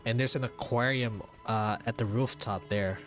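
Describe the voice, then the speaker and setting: A man's voice: lowish voice, moderate pace. A man giving casual live commentary on a video game playthrough, thinking aloud hesitantly and repeating himself. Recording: game music behind the voice. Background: video game music.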